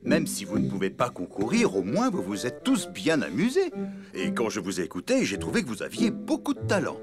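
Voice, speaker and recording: A man's voice: on the low side, huge pitch swings, fairly quick, over music. A man playing a kindly, corny elephant dad in a children's cartoon, encouraging and good-natured.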